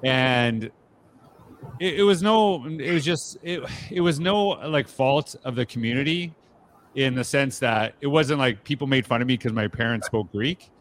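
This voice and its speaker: A man's voice: mid-range voice, a little slow. Reflective male podcast host, casual. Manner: conversational, reflective, many fillers and restarts. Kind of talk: casual interview chat.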